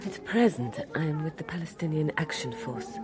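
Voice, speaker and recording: Low-voiced, poised Lebanese activist woman. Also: expressive, music in the background. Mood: cool, assured. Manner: assured, formal, deliberate. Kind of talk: radio drama acting.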